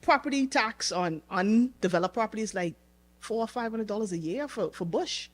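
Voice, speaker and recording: A woman's voice: mid-range voice, lively intonation, normal speed. A woman from the Bahamas with a Bahamian accent, talking casually as an interview guest and often checking in with "you know" and "right?". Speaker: podcast guest, entrepreneur.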